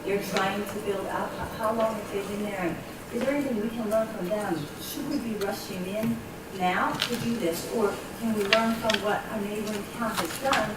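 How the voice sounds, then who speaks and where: A woman resident quietly asking questions at a town meeting. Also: medium pitch, fairly even intonation, normal speed. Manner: questioning, soft-spoken. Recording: noisy echoey room from far off.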